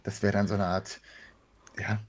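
A man's voice: on the low side, flat delivery, moderate pace, a little hiss or hum. A man, talking casually as a voice actor being interviewed. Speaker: guest, voice actor.

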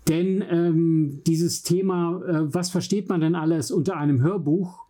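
A man's voice: fairly high pitch, fairly even intonation, unhurried, dark tone. A man with a German accent co-hosting a podcast in casual chat from a home studio, with a joking, self-deprecating manner. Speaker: podcast co-host.

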